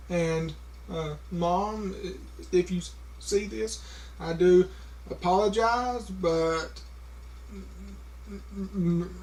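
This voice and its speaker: A man's voice: high-pitched, rough recording. A man talking casually to a camera, with a heavy stutter, repeating sounds and stopping on words, with many fillers. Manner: slow, halting, many fillers. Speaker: vlogger.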